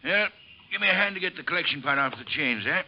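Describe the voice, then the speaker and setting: An actor playing a weary, good-natured street charity Santa in an old radio drama, talking in a folksy way. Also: male voice, a little high, animated, fast, very noisy, close to an everyday voice. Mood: weary, friendly. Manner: folksy, colloquial. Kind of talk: 1950s radio drama acting. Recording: muffled old radio, faint street ambience.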